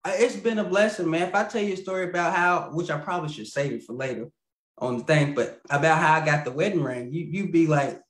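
A man in his thirties chatting as a podcast guest over a video call, rambling and laughing, often trailing off quietly. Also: fairly high pitch, fast, roomy sound. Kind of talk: casual interview chat over video call. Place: indoor home room with lamp. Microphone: video call, webcam.

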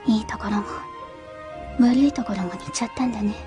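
A woman playing a gentle young cat-like companion in a Japanese radio drama, speaking softly, politely and thoughtfully. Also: on the high side, a little fast, over music, natural voice. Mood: gentle, curious. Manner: soft, polite, thoughtful speech.

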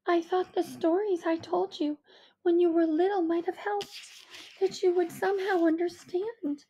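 A woman voicing a mother who speaks softly but fiercely to her daughter. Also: extremely high pitch, steady tone, normal speed, a little hiss or hum, voice pushed up, low-quality recording. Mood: tender. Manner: soft, earnest, emotional. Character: tender, fierce mother with a hushed voice.

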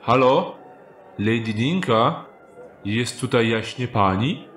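An actor playing a cunning, cold thief in an audio drama, muttering to himself in terse, clipped phrases. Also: male voice, medium pitch, lively intonation, takes it slowly, fairly bright tone, faint music behind. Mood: feigned servile. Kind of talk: Polish audio drama acting.

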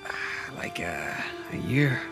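An actor playing a cocky, protective teenage older brother, speaking casual, persuasive American English in a video game scene. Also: male voice, takes it slowly, whispered, music in the background, natural voice. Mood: earnest, placating. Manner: casual, persuasive, street-smart. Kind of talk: cinematic video game acting, naturalistic dialogue.

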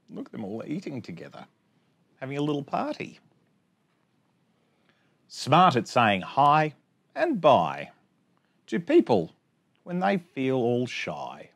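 A man in his forties hosting storytime, reading a picture book aloud to children in an expressive sing-song way with chatty asides. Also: medium pitch, breathy edge. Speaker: storytime host. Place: small indoor space among bookshelves.

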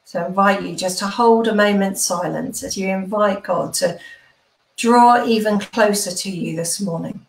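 A woman's voice: medium pitch, normal speed, gravelly. Woman minister in a roomy space. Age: middle-aged. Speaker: church minister leading a service. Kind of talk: informal online worship leading and prayer. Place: small room at home, indoors. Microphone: computer mic on a video call. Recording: roomy home video-call sound.

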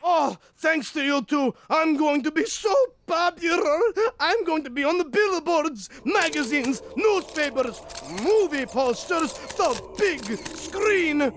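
A man's voice: very high voice, expressive, slow pace, fairly bright tone, heavily acted. A man voicing a vain, fame-hungry conqueror in a comedy podcast skit, with grandiose theatrical delivery. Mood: gloating. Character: vain boastful conqueror Genghis Khan, comic villain hungry for fame.

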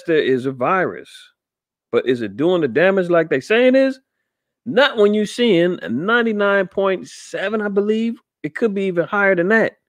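A middle-aged man talks through a news story in a loose, skeptical way, full of fillers and false starts. His voice sits a little high for a man. The sound is dry and close, with no room echo. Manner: rambling, skeptical, many fillers and restarts. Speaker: podcast host commenting on news. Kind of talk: casual commentary reading an article.

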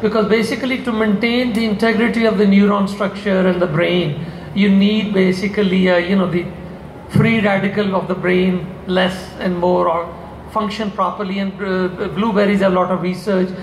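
A man's voice: high-pitched, little pitch movement, normal speed, slight background noise. An older man on a convention panel talking casually about supplement formulas, rambling with many restarts and asides into a handheld mic. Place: large convention hall stage. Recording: echoey convention hall.

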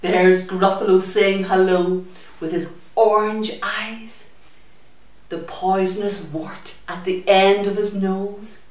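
A middle-aged woman hosting children's story time in an animated, sing-song manner, talking and singing to small children. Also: medium pitch, slow pace. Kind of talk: children's story time with puppet chat and songs. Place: indoors, small room in a community library. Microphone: camera a few metres away. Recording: very echoey room, distant microphone.

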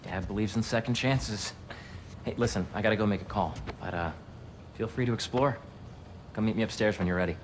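A man's voice: on the low side, fairly quick, noisy background, close to an everyday voice. An actor playing a friendly, idealistic young American man in a video game cutscene, talking casually and earnestly. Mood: relaxed. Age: young adult. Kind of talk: naturalistic game cutscene acting.

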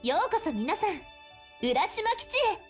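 A woman's voice: very high voice, very animated, brisk. A woman voicing a cheerful young guide in a visual novel who speaks politely and formally. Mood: welcoming. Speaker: station support staff in visual novel. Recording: game audio with faint music.

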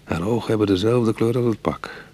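A retired cat burglar in a radio drama , played by a male actor, proud and wry. His middle-aged voice sits fairly low and has a husky grain. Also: fairly quick, warm tone, natural voice. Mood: brooding, wry. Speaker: actor and narrator in a radio play. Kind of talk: Dutch radio drama acting.

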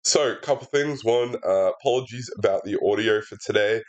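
This is a young man hosting a relaxed puzzle walkthrough while his sinuses are stuffed up. His voice sits slightly low and comes through a laptop's built-in mic at his desk. He talks through every move casually, with plenty of "uh" and "um". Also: normal speed, muffled recording. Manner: chatty and relaxed, many fillers, explains steps aloud. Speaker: puzzle setter and walkthrough host. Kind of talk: casual sudoku solving walkthrough. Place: indoor room at a desk.

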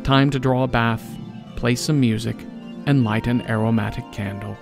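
A man reading scripted podcast credits and sponsor notes in a deadpan manner. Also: mid-range voice, normal speed, vocal fry. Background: guitar music.

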